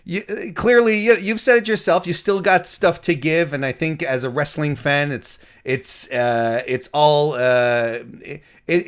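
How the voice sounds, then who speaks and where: Halting, slightly high-voiced male interviewer. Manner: halting, many restarts, joking. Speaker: interview host. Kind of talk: casual interview.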